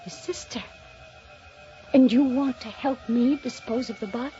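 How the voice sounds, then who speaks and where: A woman's voice: on the high side, warm tone, lightly acted. An actress playing an obsessive, jealous woman novelist turned killer, speaking and narrating dramatically in a 1950s radio mystery style. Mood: startled, suspicious. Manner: dramatic, intense, deliberate delivery. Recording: dull old radio recording, music behind.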